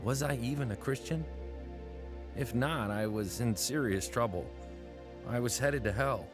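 A man's voice: mid-range voice, measured pace, close to an everyday voice. A man in his twenties narrating his own story reflectively in a radio drama. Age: 20s. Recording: narration over music.

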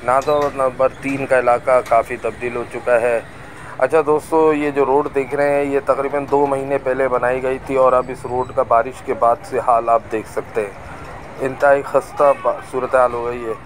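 A man's voice: mid-range voice, little pitch movement, normal speed. Man narrating a noisy street tour. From Pakistan. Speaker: vlogger. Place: busy market street outdoors. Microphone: camera on a moving bike. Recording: noisy outdoor street recording. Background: traffic and crowd noise.

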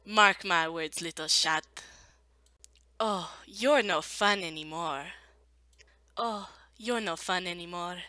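A woman's voice: fairly low pitch, takes it slowly, pauses often, bright, forceful tone, no room sound. A woman voicing a haughty, theatrical female villain with an attempted French accent and taunting manner. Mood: mocking. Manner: taunting, grandiose. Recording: poor cheap home microphone.

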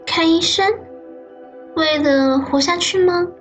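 Woman voicing a high, slow, eerie ghost girl. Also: steady tone, soft background music. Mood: cold, dismissive. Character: eerie, capricious ghost girl, tender yet cold. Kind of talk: amateur audio drama acting.

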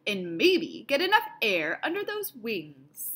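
A woman reading a picture book, voicing an excited, encouraging elderly male inventor in an emphatic manner. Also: a little high, slow pace, full character voice. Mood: eager, instructive. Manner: emphatic, exclaiming. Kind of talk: read-aloud picture book dialogue.

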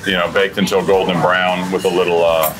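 A man's voice: low voice, flat delivery, fairly quick, low-quality recording. A man, a restaurant owner chatting casually and enthusiastically about his dishes in a dining room on a lapel mic. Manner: easygoing, enthusiastic, fillers like "you know". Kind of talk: casual chat about food. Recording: music playing underneath.

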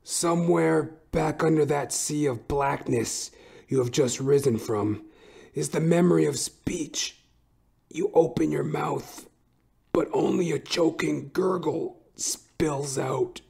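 Slow, suspenseful male horror narrator. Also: on the high side, vocal fry, a little mellow, breathy edge. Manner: deliberate, suspenseful. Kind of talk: dramatic scripted horror narration. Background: music.

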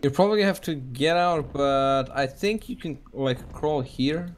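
A man's voice: medium pitch, measured pace. A man in casual video game banter with friends, giving instructions and cursing at them.

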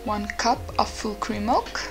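A woman's voice: medium pitch, expressive, measured pace. A woman in her twenties chatting casually to viewers in an informal vlogging style. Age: 20s. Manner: informal, addressing viewers directly. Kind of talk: casual vlog chat and recipe voice-over. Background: music.

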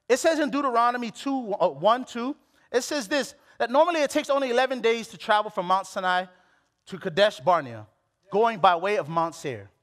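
A man in his late twenties from Hawaii, preaching a sermon on a church stage with a headset mic, animated and full of restarts. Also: extremely high pitch. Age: late 20s. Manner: animated, exclamatory, with many restarts. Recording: long hall reverb.

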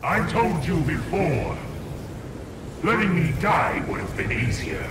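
An actor playing a bitter, menacing armored supervillain in a video game, grave and theatrical. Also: male voice, on the high side, sing-song, slow. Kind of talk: cinematic video game acting. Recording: echoing, loud machinery and storm noise.

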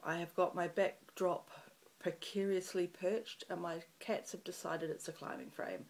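Croaky New Zealand woman vlogging. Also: lowish voice, fairly even intonation, unhurried, a little mellow, poor audio. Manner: chatty, rambling with many ums. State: croaky early-morning voice. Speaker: craft channel host. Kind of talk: casual chat to camera. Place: small room in front of a festive fabric backdrop.

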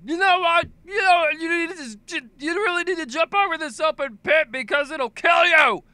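A man voicing a dim, intrusive busybody in a cartoon, loud and halting as he gives obvious advice. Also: very high voice, dry recording, voice pushed up, heavily acted. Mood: loud, pushy. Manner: loud, goofy, halting, over-helpful.

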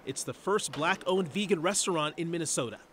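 A man narrating a TV news feature in a measured, storytelling voice. He speaks quite high for a man, and a faint hum of outdoor street noise sits under him. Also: fairly bright tone. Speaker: TV news reporter. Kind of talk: scripted news voice-over.

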